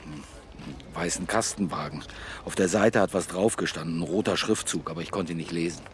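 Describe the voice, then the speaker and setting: An actor playing a calm, caring police chief inspector in a German radio crime drama, with a matter-of-fact, understated delivery. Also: male voice, deep voice, brisk, slight background noise, lightly acted.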